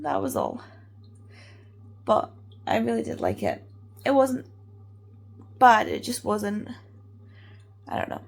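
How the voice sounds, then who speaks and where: Hoarse, congested woman vlogging. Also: a little high. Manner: rambling, chatty, many fillers. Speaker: book vlogger. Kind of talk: casual vlog chat.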